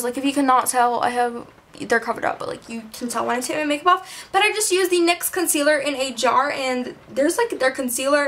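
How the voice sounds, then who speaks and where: High-voiced chatty teen girl vlogger. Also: a bit bright, a little hiss or hum. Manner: chatty, many fillers, self-mocking asides. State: slightly hoarse, losing her voice. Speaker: beauty vlogger. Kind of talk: casual makeup tutorial chat.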